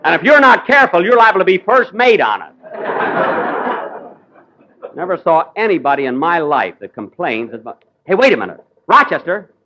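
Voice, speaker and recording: Lively, exasperated radio comedy host. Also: male voice, high-pitched, moderate pace, pauses often, a little hiss or hum, low-quality recording. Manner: vain, easily exasperated comic delivery.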